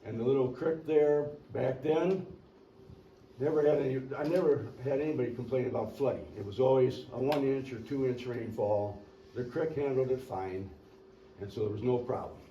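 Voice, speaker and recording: A man's voice: mid-range voice, normal speed, warm tone, highs cut off. Older man telling a folksy story. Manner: rambling, folksy, clears throat often. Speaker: local resident speaking at a public meeting. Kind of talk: storytelling public comment. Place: community meeting room. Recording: echoey meeting room, distant mic, faint hiss.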